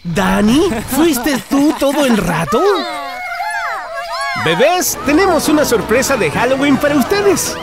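A man voicing an excitable, friendly helper in a children's cartoon, talking in simple, encouraging exclamations. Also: extremely high pitch, measured pace, heavily acted. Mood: cheerful. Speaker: cartoon narrator and character. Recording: cartoon music under the voice.

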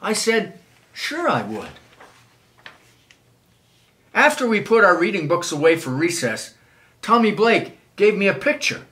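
An older man reads a children's storybook aloud in an expressive, measured way. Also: sits high. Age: older, 70s. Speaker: children's author reading own book. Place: small wood-panelled room, indoors.

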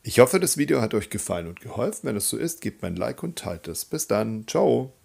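A man working as a language teacher, reading example sentences in a deliberate, instructional way. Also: medium pitch, sing-song, creaky, a little mellow, breathy edge. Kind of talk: scripted grammar drill, reading example sentences.

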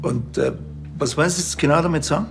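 An actor playing a laconic, sardonic Bavarian police detective with a deadpan delivery. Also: male voice, a little high, brisk, vocal fry, music in the background. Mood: businesslike, dry. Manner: dry, deadpan.

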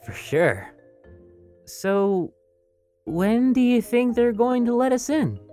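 A woman voicing a friendly, eager teenage freshman boy, chatty and upbeat, in an animated roleplay. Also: fairly high pitch, slow pace, faint music behind. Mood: friendly, curious.

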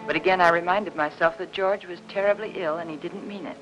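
An actress playing an anxious, gentle housewife in 1950s Hollywood melodrama, earnest and placating. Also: female voice, fairly low pitch, steady tone, fast, close to an everyday voice. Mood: hurt, confiding. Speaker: actress in lead role and narrator. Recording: dull vintage film soundtrack with music.

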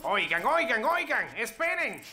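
An actor voicing a jittery, excitable little critter sidekick in a children's cartoon dub, often hesitant. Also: medium pitch, a little slow, full character voice. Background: loud music.

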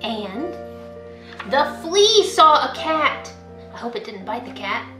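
A young woman, a school librarian, reading a picture book aloud to children in an expressive, sing-song way. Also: sits high, slow, reverberant, faint music behind. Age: young adult. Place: indoor room at home, by a window.

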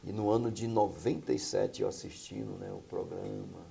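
A man's voice: lowish voice, fairly even intonation, normal speed, gravelly, mellow. A middle-aged man from Bahia, a pastor and singer, telling his life story as a guest in a small studio. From Bahia, Brazil. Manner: earnest storytelling with frequent tag questions.

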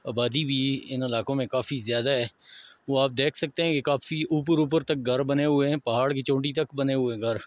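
A man with a Pakistani Urdu accent, walking a mountain road and calmly pointing out sights to his viewers. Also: mid-range voice, no room sound, lo-fi sound. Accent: Pakistani Hindko-area Urdu. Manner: unhurried, pointing things out to viewers. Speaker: travel vlogger. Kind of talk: casual walking tour narration.

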